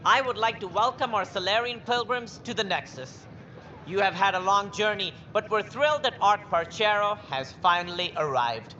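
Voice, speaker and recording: An actress playing a formal, stately official giving an uplifting public speech in a video game. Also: female voice, mid-range voice, pushed and bright, muffled recording, a little hiss or hum.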